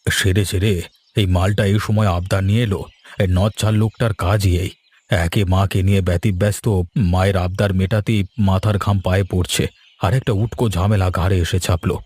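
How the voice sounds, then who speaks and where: Harried bengali husband for a cartoon, husky lowish voice, grumbles a lot. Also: male voice, level tone, fairly quick, darkish tone. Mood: exasperated. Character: harried, grumbling Bengali householder, short of money. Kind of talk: cartoon voice acting.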